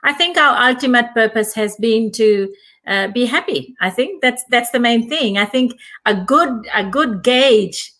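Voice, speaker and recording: A middle-aged woman from Fiji speaks in a fairly high voice, reflective and unhurried. She often restarts her thoughts and pauses on fillers as she talks things through. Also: fairly bright tone. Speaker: guest. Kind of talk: casual conversation.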